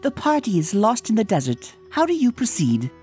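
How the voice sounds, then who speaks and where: A woman's voice: medium pitch, wildly swooping pitch, moderate pace, warm tone. A woman voicing an earnest young zebra filly as a game master in a cartoon comic dub. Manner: storytelling, earnest. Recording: music behind the voice.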